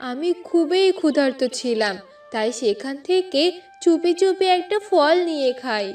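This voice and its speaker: Very high, pleading young cartoon maiden. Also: female voice, lively intonation, normal speed, darkish tone, distant mic, soft background music. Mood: sorrowful. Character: pleading young village woman cursed half to stone. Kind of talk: Bengali cartoon fairy-tale voice acting.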